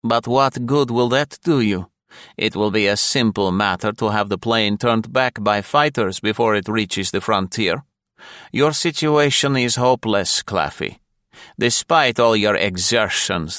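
A man's voice: fairly low pitch, a little slow, fairly bright tone, no echo, clean studio sound, voice pushed up. A male narrator playing a cold, arrogant security police major, clipped and menacing. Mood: smug.

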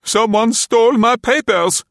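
A man's voice: very high-pitched, a little slow, gravelly, a bit bright, no echo, studio quality, voice pushed up, heavily acted. A man voicing a panicked arrested man in an audiobook, crying out and pleading frantically.

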